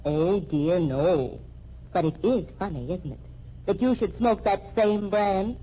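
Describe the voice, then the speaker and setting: A woman's voice: mid-range voice, takes it slowly, mellow. An actress playing a shrewd, sardonic female investigator in a mystery radio drama, with pointed, wry delivery. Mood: accusing. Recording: dull, band-limited old radio recording.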